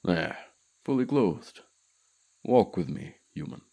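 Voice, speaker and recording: Man voicing a haughty, very deep male dragon. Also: expressive, slow pace, vocal fry, dark tone, heavily acted. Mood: stern, commanding. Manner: pompous, condescending, formal diction. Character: haughty male dragon, commanding keeper of a human pet. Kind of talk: scripted ASMR fantasy roleplay.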